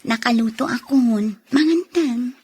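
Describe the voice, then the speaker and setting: A woman plays a sulky young wife in an Ilocano radio drama. Her voice is fairly high with a husky edge, coaxing in an Ilocano accent. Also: measured pace, darkish tone.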